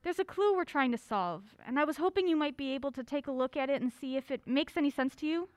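A woman's voice: high voice, animated, quick pace, no room sound. An actress playing a polite, affectionate young niece in an audio drama, eager and curious in her delivery. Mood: cheerful, eager.

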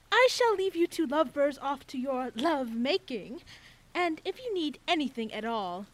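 High, singsong, eccentric motel keeper. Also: female voice, a bit bright, heavily acted, rough recording. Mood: suggestive, chirpy. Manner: theatrical, flowery, singsong. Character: eccentric, nosy female innkeeper of a creepy roadside motel. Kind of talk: audio drama acting.

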